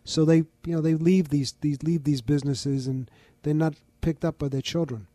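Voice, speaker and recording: Older New man, mellow dry voice. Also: a little high, steady tone, dry recording. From the Bronx, New York. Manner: measured, informative, lists details. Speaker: museum founder, guest. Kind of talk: interview conversation.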